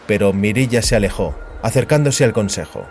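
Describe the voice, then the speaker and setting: Low-pitched man narrating. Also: expressive, fairly quick, darkish tone, faint music behind. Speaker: audiobook narrator. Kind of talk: dramatic scripted fiction narration.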